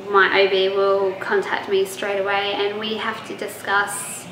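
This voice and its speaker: A woman's voice: mid-range voice, completely flat delivery, measured pace. A young woman giving a casual pregnancy vlog update, chatty with lots of ums and restarts, and she is losing her voice. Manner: chatty, many ums and restarts, laughs easily. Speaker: pregnancy vlogger. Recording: echoey room with faint noise.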